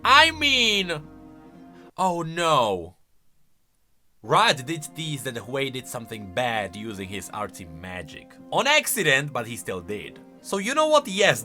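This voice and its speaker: A man's voice: medium pitch, bright, forceful tone. A man hosting a video of casual, explanatory game lore commentary in an emphatic, conversational way. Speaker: video host.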